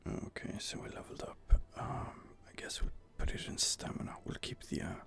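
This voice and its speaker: A man whispering soft, hesitant commentary while playing a fantasy game, close to the microphone. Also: normal speed. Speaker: gameplay streamer. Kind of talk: whispered ASMR gameplay commentary.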